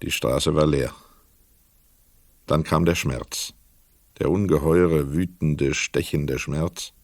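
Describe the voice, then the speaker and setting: A man narrating a literary crime radio play as a sober storyteller, measured, precise and dramatic. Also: very deep voice, fairly even intonation, darkish tone, no room sound, clean studio sound, full character voice.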